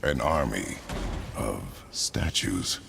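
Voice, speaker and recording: A huge, stern warrior father in a video game. The voice is rock-bottom low and thick with gravel. He speaks tersely and gravely, with loud noise behind him. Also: expressive, takes it slowly, full character voice. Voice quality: very deep, gravelly. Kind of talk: video game character acting.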